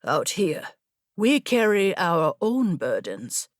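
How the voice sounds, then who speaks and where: A woman playing a weary old male samurai scout, cautious and bitter, with measured, dour speech in a storybook reading. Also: on the low side, very animated, no room sound, clean studio sound, heavily acted. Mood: dour, resigned. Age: older.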